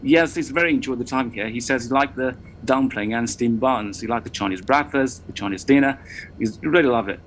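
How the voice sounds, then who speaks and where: A man's voice: mid-range voice, a little fast, a little hiss or hum, low-quality recording. A man working as a reporter, chatting on a live news show and often repeating words as he talks.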